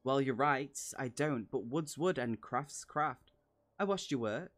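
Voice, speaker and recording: A large, seasoned fighting woman from a fantasy storybook, voiced by a male reader. She is frank and persuasive, speaking plainly with a very mobile pitch. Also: lively intonation, a little slow, natural voice. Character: big, battle-worn female adventurer, frank and confident.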